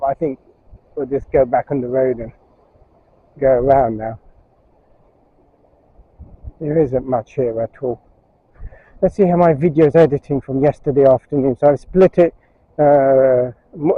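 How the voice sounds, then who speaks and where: A man's voice: mid-range voice, lo-fi sound. A man filming a rambling walking vlog outdoors on a windy beach, chatting to his viewers through a chest-strapped camera mic. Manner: chatty, wandering asides, talks to viewers. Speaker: travel vlogger. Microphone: chest-strapped action camera mic. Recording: wind noise on a body camera mic.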